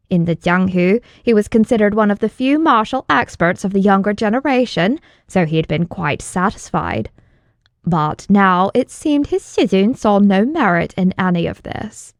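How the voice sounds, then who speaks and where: Woman reading a fantasy audiobook, medium pitch, measured n dramatic, really dry recording. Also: no echo. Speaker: audiobook narrator. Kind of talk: scripted fantasy narration.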